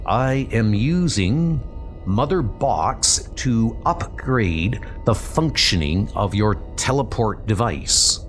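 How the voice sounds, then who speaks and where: A man voicing a haughty, grave godlike scientist in a comic book dub, with a pompous, formal, declamatory delivery. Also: fairly low pitch. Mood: condescending.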